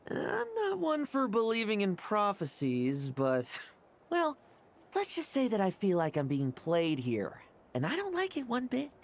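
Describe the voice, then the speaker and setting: A woman's voice: medium pitch, huge pitch swings, measured pace, exaggerated voice. A woman voicing a confident, stubborn young fighter in a video game, with a dramatic, self-assured delivery. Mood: defiant. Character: confident, battle-hungry young female fighter, skeptical and stubborn.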